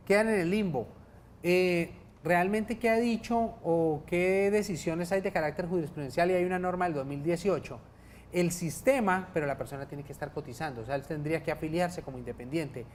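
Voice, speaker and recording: A man's voice: fairly high pitch, brisk, slight background noise. A man with a Colombian accent, a lawyer guest on a TV studio show, explaining pension cases patiently and checking in with his listeners.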